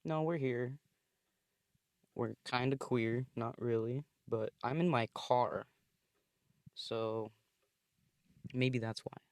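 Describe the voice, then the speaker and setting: A young man talks unhurriedly into his phone from the driver's seat, his voice thin and light on bass. He rambles loosely, full of fillers and curses. The sound is dry and close, with no room around it. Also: slow pace, pauses often, dry recording. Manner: rambling, profane, laid-back with frequent fillers. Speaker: podcast host. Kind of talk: casual solo podcast talk. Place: inside his car. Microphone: phone recording.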